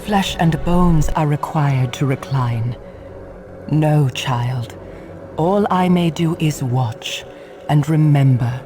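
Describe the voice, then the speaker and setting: An actor playing an ancient imprisoned ghost who speaks slowly and solemnly, lamenting, over game music. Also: medium pitch. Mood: mournful.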